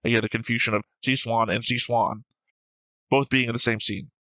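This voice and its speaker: A man's voice: medium pitch, steady tone, a little fast, bright, forceful tone, no room sound, rough recording. A man hosting an anime reaction show, casually reasoning aloud through plot theories in an argumentative way, with some casual swearing.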